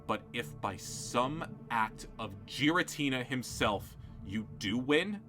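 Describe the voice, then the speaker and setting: A man voicing a furious, vindictive female contestant who is a mother, profane and cutting, bursting into shouting. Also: medium pitch, animated, slow pace, faint music behind. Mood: cold. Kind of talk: fan reality-show parody drama.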